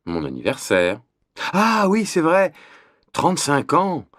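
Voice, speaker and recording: Hesitant thirties husband played by an actor, very slow delivery, animated pitch, dry recording. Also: male voice, dry recording, heavily acted. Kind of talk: scripted language-lesson dialogue.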